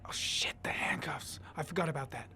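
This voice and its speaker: A woman playing a determined police officer in a video game, speaking in a hushed, urgent way. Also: low-pitched, a little fast, in a whisper. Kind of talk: video game cinematic acting.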